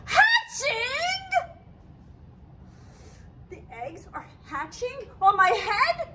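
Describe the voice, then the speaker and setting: An anxious, excitable storybook elephant, acted out by a woman, speaking slowly in a bright, piercingly high voice. The delivery is dramatic and exclaiming, over faint noise. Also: exaggerated voice. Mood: exasperated. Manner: dramatic, exclaiming, swinging from fretful to outraged. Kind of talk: animated children's picture book read-aloud.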